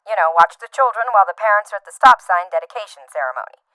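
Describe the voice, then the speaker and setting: A woman plays a businesslike small-town mayor in a radio comedy serial. Her voice is bright and sharp, closely recorded and dry. She is curt, deadpan and unimpressed. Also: mid-range voice, very fast, clean tone, no echo, poor audio. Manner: curt, matter-of-fact, deadpan.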